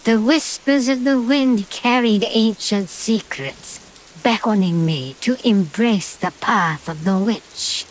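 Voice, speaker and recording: A woman's voice: medium pitch, takes it slowly, lightly acted, low-quality recording. A British woman playing a haughty, power-hungry witch in a horror-comedy radio play, dramatic and sardonic, with a British accent. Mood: ominous, exultant. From Britain. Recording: hissy track with rain noise. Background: rain and hiss.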